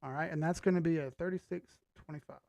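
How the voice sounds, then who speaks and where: A man's voice: fairly high pitch, fairly even intonation, fast, gravelly, darkish tone, no room sound. A man hosting a live stream, giving casual commentary and thinking aloud in slangy, informal language. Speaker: host of a live market analysis stream.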